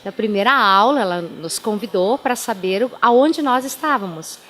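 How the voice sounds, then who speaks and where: A woman's voice: mid-range voice, expressive, moderate pace, a bit bright, slight background noise. Chatty woman with a German mother tongue. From Timbó, southern Brazil. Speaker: pastor, interview guest. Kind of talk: radio interview, life storytelling.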